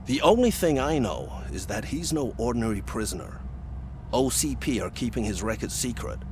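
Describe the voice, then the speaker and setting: An actor playing a smug, businesslike prison warden in a video game. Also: male voice, mid-range voice, expressive, normal speed, background noise, lightly acted. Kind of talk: video game cutscene dialogue.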